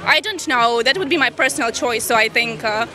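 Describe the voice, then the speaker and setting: Young woman from Ukraine, slightly high, bright voice, careful wording, street interview, handheld mic outdoors. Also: brisk, a faint crowd. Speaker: interviewed visitor. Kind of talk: casual street interview answer. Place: outdoor exhibition walkway. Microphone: handheld reporter microphone.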